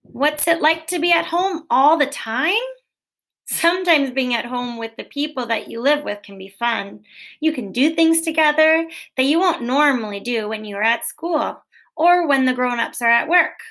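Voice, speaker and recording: Woman, teacher, high voice, children's read-aloud, gentle, expressive. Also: moderate pace. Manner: gentle, expressive storybook reading with small self-corrections. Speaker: teacher reading a picture book aloud.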